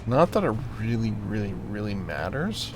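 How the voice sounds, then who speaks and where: A man's voice: fairly low pitch, sing-song, moderate pace, over music. A man giving casual gameplay commentary, thinking aloud and muttering to himself with playful asides. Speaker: gaming channel host.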